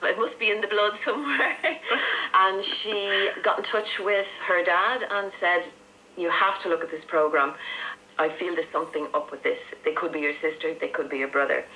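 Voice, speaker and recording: Middle-aged woman, lowish pitch, interview guest, personal storytelling, static-laden shortwave radio. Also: steady tone, moderate pace, pushed and bright. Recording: noisy, crackly shortwave radio reception.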